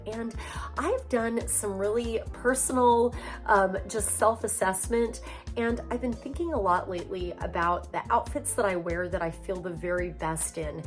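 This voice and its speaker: Raspy-voiced woman with a cold. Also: mid-range voice. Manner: chatty, upbeat, addresses viewers as gals. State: hoarse from a cold. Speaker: style vlogger and show host. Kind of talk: casual friendly wardrobe vlog. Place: at home.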